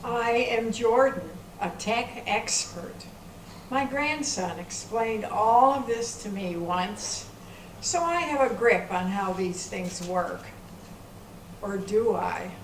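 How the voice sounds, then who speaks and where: A woman's voice: medium pitch, takes it slowly. A woman playing a confident, bossy grandmother and self-styled tech expert in amateur readers theater, instructing others. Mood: bossy, assured. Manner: instructive, assured. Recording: echoey room from far off, faint noise.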